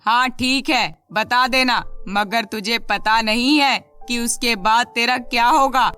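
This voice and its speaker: Cruel cartoon mother, acted by a woman: stern, threatening, domineering, sharp bright tone, music underneath. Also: fairly high pitch, slow, smooth. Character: harsh, scolding stepmotherly mother, domineering. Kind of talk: animated children's moral story.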